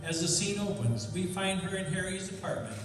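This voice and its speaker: Male radio narrator over loud music. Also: high voice, level tone, fairly quick. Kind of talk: scripted old-time radio narration. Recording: echoey hall, distant mic, loud music behind.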